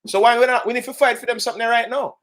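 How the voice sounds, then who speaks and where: A Jamaican man speaks fast in a high, bright voice on a remote call. He rouses his listeners with rhetorical questions and an impassioned push to act. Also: steady tone.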